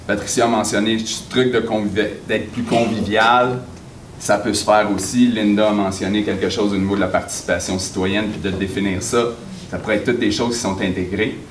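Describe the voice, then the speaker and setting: A man's voice: fairly low pitch, steady tone, fairly quick. A man speaking at a public meeting, direct and informal. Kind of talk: unscripted public comment. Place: meeting hall. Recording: echoey room, distant mic, background noise.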